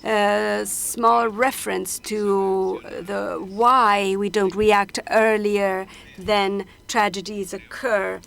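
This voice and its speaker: Slow, halting woman interpreter. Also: medium pitch, flat delivery, vocal fry, fairly bright tone. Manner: halting, with frequent hesitations and restarts. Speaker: conference interpreter. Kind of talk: simultaneous interpretation of a panel discussion.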